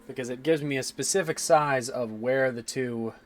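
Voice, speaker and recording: A man in his twenties with a slightly raspy voice, rambling casually and swearing as he streams his 3D modeling work and answers chat. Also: medium pitch, moderate pace, a little hiss or hum. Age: 20s.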